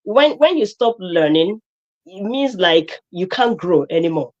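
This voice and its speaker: A woman's voice: fairly low pitch, expressive, unhurried, lo-fi sound. A woman from Nigeria with a Nigerian accent, a guest on a live stream, talking emphatically with many fillers and restarts.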